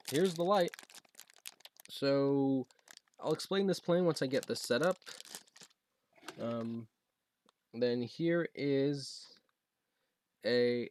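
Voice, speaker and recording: A young American man chatting casually through a home unboxing video, halting and rambling as he goes. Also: medium pitch, a little mellow, slight background noise. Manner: halting, rambling, reads box text aloud. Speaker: video creator showing a gadget. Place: basement room at home.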